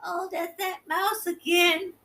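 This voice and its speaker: A woman's voice: very high voice, little pitch movement, slow, noisy background. A woman co-host bantering casually in a playful, silly, childlike way. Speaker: co-host, host's mother.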